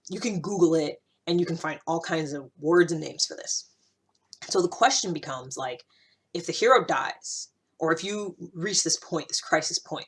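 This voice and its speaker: A woman's voice: deep voice, a bit bright, breathy edge. A woman host talking through ideas in a casual, reflective monologue, conversational and emphatic, repeating phrases to drive them home. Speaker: host of a personal development podcast.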